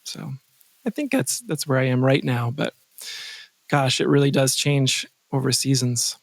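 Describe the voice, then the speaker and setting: A man chatting casually as an interview guest, enthusiastic and halting as he thinks aloud. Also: medium pitch, steady tone, creaky, no room sound. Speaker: podcast guest, composer and sound designer.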